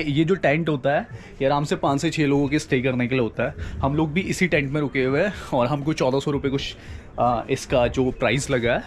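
A man's voice: fairly high pitch, a little mellow. A young man giving a casual walk-and-talk travel vlog outdoors at a hillside campsite, chatting and mixing English words into his speech. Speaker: travel vlogger. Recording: faint outdoor noise.

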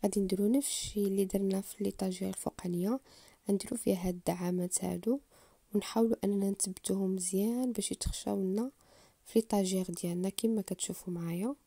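A woman's voice: medium pitch, steady tone, mellow, slightly rough, no room sound. A woman with a Moroccan Arabic accent hosting a home DIY channel, explaining furniture assembly step by step to viewers in a voice-over. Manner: unhurried, explanatory, addresses viewers directly.

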